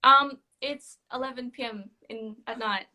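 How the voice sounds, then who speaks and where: Bright-voiced young Australian woman. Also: a little high, measured pace. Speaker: songwriter, competition winner. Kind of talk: casual live interview answers.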